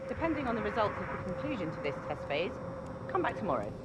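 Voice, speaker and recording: An actress playing a brisk, polite and officious clinic recruiter in a video game, speaking formally. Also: female voice, medium pitch, sing-song, heavy background noise, close to an everyday voice. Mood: courteous, officious. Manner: formal, courteous, businesslike.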